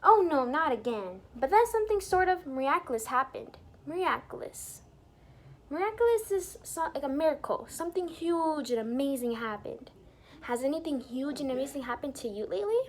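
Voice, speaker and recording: A woman reading a picture book aloud to young children in an expressive, teacherly way, pausing to explain things and put questions to the listeners. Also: sits high. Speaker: children's storybook reader and teacher. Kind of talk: animated read-aloud for young children with asides explaining words.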